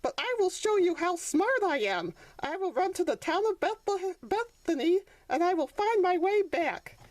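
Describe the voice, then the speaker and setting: A woman's voice: very high-pitched, big pitch swings, moderate pace, no room sound, voice pushed up. A woman voicing a sensitive young male donkey who is eager to prove himself, in a storybook reading. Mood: determined, hopeful.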